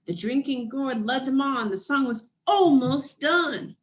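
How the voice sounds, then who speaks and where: A woman reading a children's picture book aloud as scripted narration, in deliberate, measured phrases with dramatic pauses. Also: sits high, lively intonation. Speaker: storybook reader.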